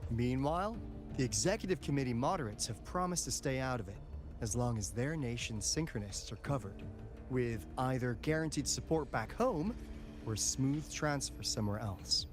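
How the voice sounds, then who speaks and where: An actor playing a wry, casual academy insider with a teasing, expressive manner in a video game, with music behind him. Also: male voice, mid-range voice, moderate pace, natural voice. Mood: wry, candid. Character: breezy, wry adult male academy insider briefing an auditor.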